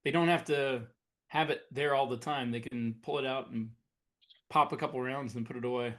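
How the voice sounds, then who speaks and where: Podcast guy, medium pitch voice, relaxed chat, feels around for his words a bit. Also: moderate pace. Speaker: podcast co-host, science fiction author. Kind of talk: casual podcast discussion.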